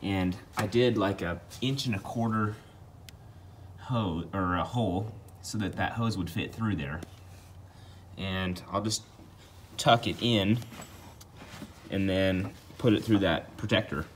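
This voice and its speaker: A man's voice: low voice, slow pace, long pauses, a little hiss or hum. A man hosting a do-it-yourself video, talking through a job step by step in a casual, plain-spoken, informal way. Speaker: DIY video host. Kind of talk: casual how-to walkthrough.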